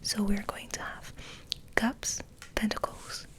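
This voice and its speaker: Whispering woman, slow ASMR ramble. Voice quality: breathy whisper. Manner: soft, unhurried, hesitant rambling. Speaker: ASMR video host.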